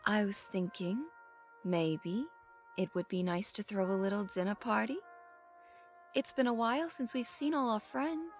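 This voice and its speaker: A woman plays a powerful man's scheming wife over faint music. Her mid-pitched voice is poised and deliberate, coaxing in an audio drama style. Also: natural voice.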